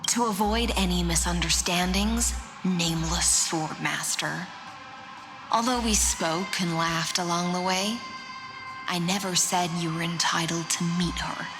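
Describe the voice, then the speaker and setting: Cool formal lady, lowish voice, unhurried, imperious, fantasy game drama, clear music behind. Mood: stern. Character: poised, commanding noblewoman, coolly formal and guarded.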